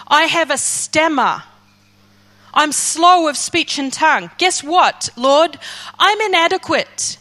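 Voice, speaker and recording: Scared old shepherd guy stammering and pleading, high and bright, a lady preacher doing him. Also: animated, takes it slowly, heavily acted. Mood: fretful. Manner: stammering, pleading, self-doubting. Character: frightened eighty-year-old male shepherd, stammering.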